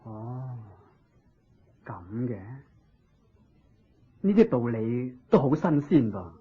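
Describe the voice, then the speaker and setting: An actor playing a humble, eager king in a Cantonese radio drama, with a husky voice, a Hong Kong accent and deferential, expressive exclamations. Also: mid-range voice, takes it slowly, full character voice. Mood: curious. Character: humble, eager king seeking Buddhist teaching. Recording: dull lo-fi radio drama recording.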